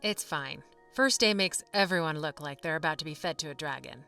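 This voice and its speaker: Fantasy drama student, played by a woman: sharp-tongued teen girl, teasing and wry, bright delivery, a little distant. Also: sits low, fairly quick, vocal fry, smooth, faint music behind, heavily acted. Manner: wry, teasing, deadpan humor. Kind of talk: fantasy audio drama acting.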